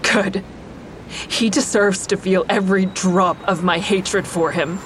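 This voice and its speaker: A woman's voice: mid-range voice, fairly even intonation, measured pace, a little hiss or hum, close to an everyday voice. An actress playing a bitter, defiant woman who narrates a confrontation with her former partner in an audio drama. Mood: scornful, bitter. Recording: music behind the voice.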